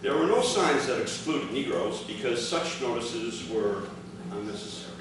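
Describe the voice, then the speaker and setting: A man's voice: mid-range voice, expressive, moderate pace, recorded from a distance. Man giving a formal speech, very echoey room. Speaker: speaker reading his father's prepared account. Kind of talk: formal prepared speech read aloud at a ceremony. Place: large indoor room, addressing a gathering. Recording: echoey, noisy hall recording from afar.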